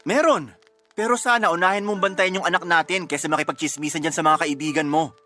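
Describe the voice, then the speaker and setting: A man's voice: on the high side, fairly even intonation, fast talker, bright, forceful tone, faint music behind. An actor playing an earnest, protective young husband and doting father in a Tagalog radio drama, emphatic and emotional. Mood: upset, insistent.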